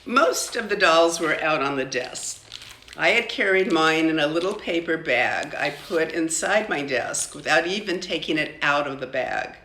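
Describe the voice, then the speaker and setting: A woman's voice: sits low, lively intonation, unhurried, sharp tone, slight background noise. An older woman narrating a children's storybook aloud, as a grandmother reading to family. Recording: echoey, roomy sound.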